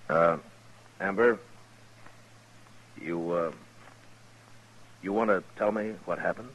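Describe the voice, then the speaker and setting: Very deep, gravelly frontier marshal. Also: male voice, takes it slowly, fairly bright tone, exaggerated voice. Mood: probing, careful. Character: stern, steady frontier lawman, a US marshal. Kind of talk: old-time radio western acting. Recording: noisy old radio drama recording.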